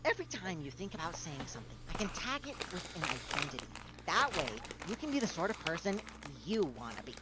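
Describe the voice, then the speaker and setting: A woman voicing a polished, helpful machine assistant in a video game, speaking in a measured, precise, salesy way. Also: on the low side, sing-song. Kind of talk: scripted game dialogue read aloud.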